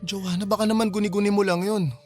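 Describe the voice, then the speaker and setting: Man acting quick-talking, concerned young male friend. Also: high voice, level tone, over music, close to an everyday voice. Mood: skeptical. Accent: Filipino. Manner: questioning, doubtful. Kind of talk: radio drama acting.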